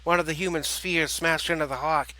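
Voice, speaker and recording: A man's voice: a little high, steady tone, fairly quick, sharp tone. A man voicing a stern, protective turkey warrior leader, blunt and grim, with a New Jersey accent.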